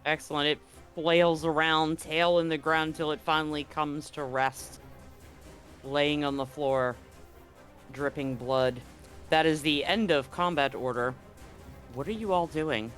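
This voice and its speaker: Guy running a tabletop game, kinda high voice, stops and restarts a lot, music in the back. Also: steady tone, a bit bright. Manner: halting, restarts mid-sentence while describing scenes. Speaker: game master.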